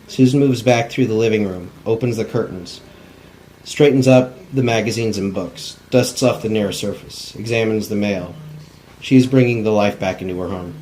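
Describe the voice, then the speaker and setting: Low-voiced man reading stage directions. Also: darkish tone, low-quality recording. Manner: plain, unpolished cold reading. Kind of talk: scripted narration at a table read. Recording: faint background noise.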